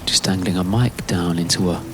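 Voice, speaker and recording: A British man giving an intimate spoken-word narration in a hesitant, musing way, in fragmented phrases with pauses. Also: low voice, heavy background noise. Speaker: writer-performer narrating his own piece.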